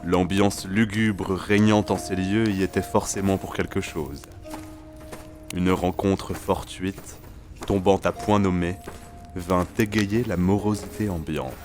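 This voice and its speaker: A man's voice: low-pitched, steady tone, takes it slowly, music in the background. A man narrating a fantasy audio drama in a literary, solemn storytelling manner.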